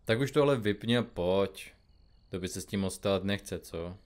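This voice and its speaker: Guy doing grumpy stoic detective man, low voice, deadpan grumbling, audio drama style. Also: clear, resonant tone, close to an everyday voice. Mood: weary, grumpy. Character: grumpy, stoic, dry one-eyed male detective. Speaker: detective in a comedy mystery podcast.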